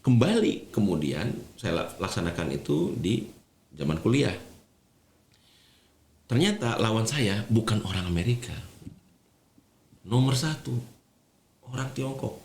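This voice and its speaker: A man's voice: fairly low pitch, very animated, unhurried, long pauses, darkish tone. A man, speaking as a podcast guest, explaining things conversationally and repeating phrases for emphasis. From Indonesia. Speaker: podcast guest, regional government official. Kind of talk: conversational interview storytelling. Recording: roomy echo, faint background noise.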